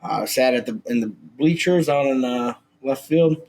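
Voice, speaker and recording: A man's voice: mid-range voice, normal speed, darkish tone. A man in his twenties co-hosting a casual sports podcast, his voice a little scratchy, talking brashly with lots of fillers and swearing. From Minnesota. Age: 20s. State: slightly scratchy voice. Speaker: podcast co-host.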